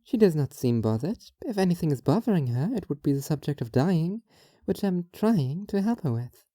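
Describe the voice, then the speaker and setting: A woman playing a strange, earnest young philosopher in an audio drama, talking in a formal, measured, literal way. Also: low-pitched, sing-song, mellow, no room sound, clean studio sound. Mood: cool, literal. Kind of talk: audio drama monologue and dialogue.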